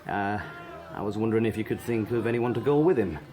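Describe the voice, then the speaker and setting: An actor playing a polite, quietly scheming British army sergeant, deferential and measured, with a British accent. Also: male voice, on the low side, expressive, noisy background. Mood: businesslike, sly. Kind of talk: radio drama acting.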